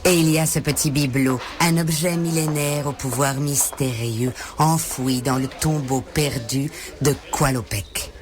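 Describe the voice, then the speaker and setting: A woman's voice: extremely low pitch, fairly even intonation, measured pace, few pauses. An actress playing an imperious, calculating businesswoman villain in a video game dub, commanding and theatrical in delivery. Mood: enticing, calculating.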